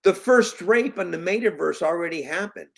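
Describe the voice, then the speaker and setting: A man in his late fifties, a guest in an interview, talking earnestly in halting bursts with many restarts. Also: high-pitched, lively intonation, vocal fry, a bit bright. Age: 50s. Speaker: guest, parent. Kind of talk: conversational interview.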